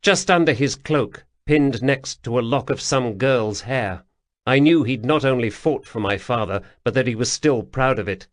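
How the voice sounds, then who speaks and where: A man's voice: medium pitch, moderate pace, no echo, close to an everyday voice. A man voicing an imperious young prince who speaks in a commanding, clipped way. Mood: shaken.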